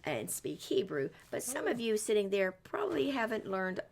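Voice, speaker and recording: A woman hosting a story time for young children, encouraging and expressive as she questions a guest and speaks straight to the kids. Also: medium pitch, normal speed, creaky.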